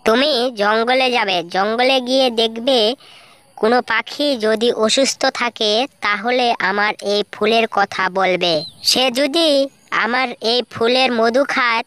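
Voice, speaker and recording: A gentle cartoon fairy princess, played by a woman, with a clear, fairly high voice. She speaks slowly, with a patient, hopeful, reassuring manner. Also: no echo. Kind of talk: children's cartoon story dialogue.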